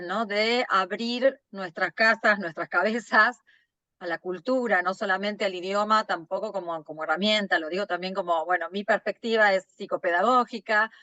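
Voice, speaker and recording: A woman's voice: mid-range voice, pushed and bright, no echo. A woman hosting a podcast, reflecting thoughtfully in short, building phrases. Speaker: podcast host. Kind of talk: casual podcast conversation.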